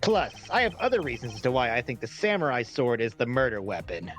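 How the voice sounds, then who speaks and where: Man acting a brash, theatrical agent, animated delivery. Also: medium pitch, soft background music. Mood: confrontational. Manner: theatrical, emphatic, drawn-out words. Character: proud male agent with a wolf fixation, brash and confrontational. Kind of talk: fan dub of a mystery video game.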